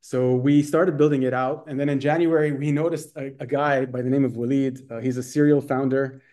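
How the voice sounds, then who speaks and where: A man in his thirties who grew up in Saudi Arabia, with a Dubai international accent from American schools, answering interview questions over a video call with quick talk and many fillers. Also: medium pitch, fairly even intonation, dark tone. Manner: quick, fluent, with frequent fillers and restarts. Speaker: guest, startup accelerator executive. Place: bright room indoors. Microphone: video call webcam.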